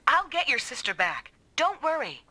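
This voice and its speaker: A woman's voice: sits high, big pitch swings, bright, forceful tone, no room sound, exaggerated voice. A woman voicing a confident, protective adult squad mate in an anime-style video game dub. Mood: firm. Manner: brisk, assured.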